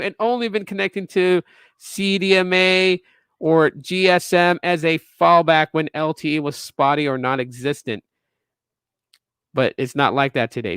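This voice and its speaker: A man's voice: on the high side, a bit bright, clean tone, no room sound. A man in his late thirties hosting a tech podcast, ranting casually to viewers with emphatic repeats and restarts. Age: 30s. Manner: emphatic, repetitive restarts, lecturing.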